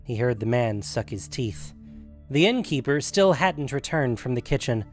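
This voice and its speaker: A man's voice: mid-range voice, expressive, moderate pace. A man reading scripted fantasy fiction as the narrator of a story podcast. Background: faint music.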